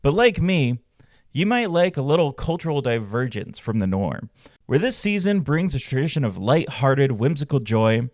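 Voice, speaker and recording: A man hosting a podcast, reading scripted narration and stories in a measured, dramatic way. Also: mid-range voice, darkish tone, clean studio sound. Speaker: podcast host and storyteller. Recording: roomy echo, faint music behind. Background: faint background music.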